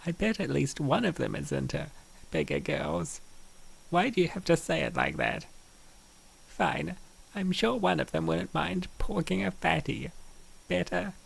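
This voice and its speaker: A man voicing bantering young college women roommates in a comic dub, with a raspy, creaky voice. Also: on the high side, sing-song, a little slow, exaggerated voice, poor audio. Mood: bickering.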